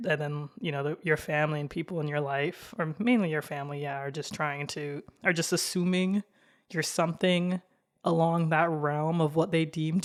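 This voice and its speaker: Young woman podcast host with a slow, flat, monotone voice, casual and candid. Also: sits low. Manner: laid-back and candid, with frequent laughs and fillers. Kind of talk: casual solo podcast monologue.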